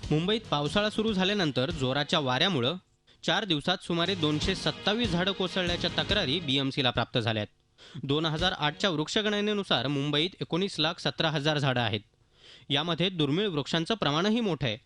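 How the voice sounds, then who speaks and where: A man's voice: on the high side, animated, fairly quick, over music. A man narrating a scripted news report in voice-over on a close mic. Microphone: close, dry voice-over mic.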